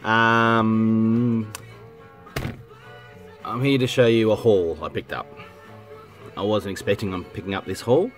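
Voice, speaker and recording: An Australian man talks casually to his viewers in a low voice that sounds congested from a head cold. He rambles, backtracks and clears his throat often, while soft music plays in the background. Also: fairly even intonation, slow pace, long pauses. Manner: rambling, self-correcting, frequent ums and throat clearing. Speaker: vinyl collecting vlogger. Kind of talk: casual rambling chat to camera. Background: music playing softly.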